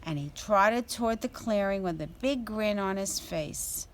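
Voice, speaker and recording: A woman reading a children's story aloud as a storyteller, in an expressive, deliberate way. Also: medium pitch, slight background noise.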